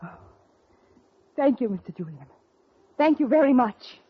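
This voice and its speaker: A woman's voice: high voice, big pitch swings, unhurried. An actress playing a tense, guarded woman in a 1940s radio crime drama, speaking hesitantly. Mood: anxious. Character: tense, guarded woman caught in a murder plot. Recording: tinny, muffled vintage radio recording.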